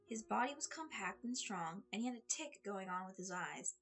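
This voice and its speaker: A woman reading a story aloud in an expressive audiobook style, with a slightly husky voice. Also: mid-range voice, normal speed. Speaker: fan fiction reader. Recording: music under the voice. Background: background music.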